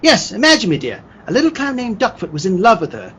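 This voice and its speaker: A man playing a pompous, dramatic gentleman sidekick in an old-time radio play, full of old-fashioned exclamations. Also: sits high, wildly swooping pitch, quick pace. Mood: grandiose wonder. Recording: muffled video-call audio with faint noise.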